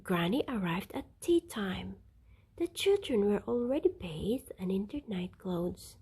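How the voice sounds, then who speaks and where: Husky, slow-paced woman reading a storybook. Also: medium pitch, animated, mellow. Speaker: storybook reader. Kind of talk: expressive children's story narration.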